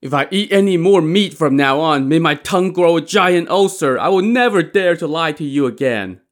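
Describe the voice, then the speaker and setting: A man's voice: mid-range voice, lively intonation, normal speed, sharp tone, voice pushed up. A man voicing a frantic, gullible male traveler in a story, whining and pleading in exclamations.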